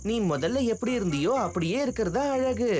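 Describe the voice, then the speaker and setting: A woman's voice: mid-range voice, animated, brisk, lightly acted. A woman voicing a confident, kind-hearted young boy hero in a children's cartoon, assured and plain-spoken. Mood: kindly, assured. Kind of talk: children's cartoon dub acting. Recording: music under the voice.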